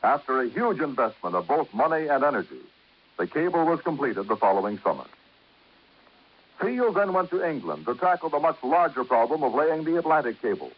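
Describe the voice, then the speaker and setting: Animated formal male radio announcer, slightly muffled. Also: on the high side, brisk, slight background noise. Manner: formal, declamatory. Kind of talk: scripted narration, 1950s radio. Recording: muffled old radio recording.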